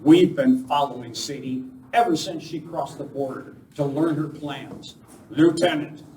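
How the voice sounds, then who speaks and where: Actor as an urgent, commanding masked western hero, roomy. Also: male voice, a little high, unhurried, a little mellow, natural voice. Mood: urgent, insistent. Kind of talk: old-time western radio play acting. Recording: roomy far-off live stage recording with noise.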